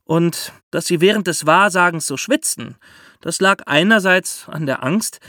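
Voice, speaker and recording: A man hosting a podcast, explaining step by step in lively storytelling for children with theatrical emphasis. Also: high-pitched, unhurried, no room sound, studio quality.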